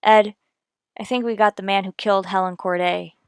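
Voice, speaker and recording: A woman's voice: medium pitch, steady tone, moderate pace, clean tone, dry recording, studio quality, close to an everyday voice. A woman playing a no-nonsense, methodical police detective in a radio drama, clipped and matter-of-fact. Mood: businesslike. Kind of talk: old-time radio police drama, scripted narration and dialogue.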